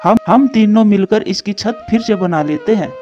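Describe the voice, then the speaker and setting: A man's voice: high-pitched, moderate pace, dark tone, lightly acted. A man voicing a caring, anxious father pigeon devoted to his child in a moral-story cartoon, on a muffled track. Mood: concerned. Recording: dull muffled studio track, music behind.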